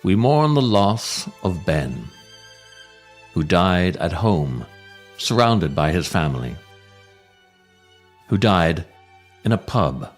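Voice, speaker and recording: A man reading his own scripted comic writing as a podcast host, theatrical and wry, with deliberate dramatic pauses. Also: on the low side.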